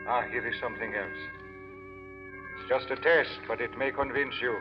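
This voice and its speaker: A man acts out a solemn, aged swami from a vintage radio play. His speech is formal and grandiose. The old recording is muffled, with music clearly behind him. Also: medium pitch, fairly even intonation, normal speed, close to an everyday voice. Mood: grandly mystical. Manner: formal, grandiose, stilted phrasing. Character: solemn old Indian swami mystic with mind-reading powers. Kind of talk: 1940s radio mystery acting.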